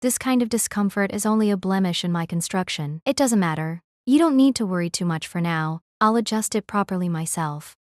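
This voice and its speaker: A woman playing a gentle, composed noble lady in an audio drama, speaking politely and reassuringly. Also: medium pitch, expressive, a little fast, hardly any pauses, dry recording, clean studio sound, natural voice.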